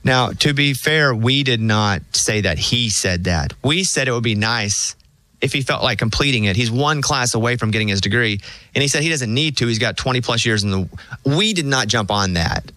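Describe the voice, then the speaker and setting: A male morning radio host talks into a studio mic with relaxed, wry banter. His tone is bright, and the booth sound is dry and close. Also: mid-range voice, normal speed, no echo, rough recording.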